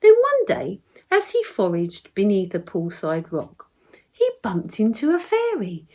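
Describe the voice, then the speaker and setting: Slow, very expressive southern English woman storyteller. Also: mid-range voice. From Essex, England. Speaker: poet reading own work. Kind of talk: expressive storybook verse narration.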